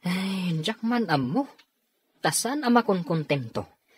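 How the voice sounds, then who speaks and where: A man playing an easygoing married man in a radio comedy drama, with a husky voice. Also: high voice, very animated, slow, slight background noise, full character voice. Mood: easygoing, mildly exasperated. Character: married man, easygoing husband and brother-in-law.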